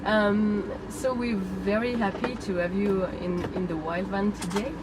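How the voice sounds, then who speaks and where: A woman's voice: mid-range voice, fairly even intonation, moderate pace. A woman hosting an interview, playful and hesitant, with many fillers as she asks her questions. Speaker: interviewer host. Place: inside a parked van. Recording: noticeable background noise.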